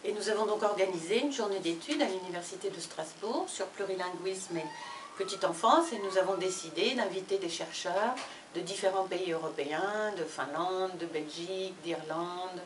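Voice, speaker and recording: A woman, a university professor and sociolinguist, presenting a book in a fluent, measured way. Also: fairly low pitch, background noise. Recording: echoey room from far off.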